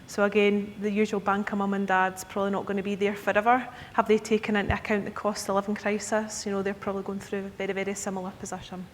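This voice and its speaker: A woman's voice: level tone, quick pace, hardly any pauses. Scottish woman presenting in an echoey hall. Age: 30s. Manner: chatty, checks in with the audience with "okay?". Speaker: key account manager presenting to advisers. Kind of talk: conference presentation. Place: conference hall. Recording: echoey hall with faint rumble.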